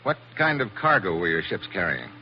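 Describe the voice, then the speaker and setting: Casting: tough American adventurer, fairly low and expressive, offhand, vintage radio drama with faint hiss. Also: male voice, a little fast. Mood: probing, businesslike. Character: tough, casual American agent posing as a reporter. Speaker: lead actor. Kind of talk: 1940s radio acting. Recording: muffled, hissy old radio recording.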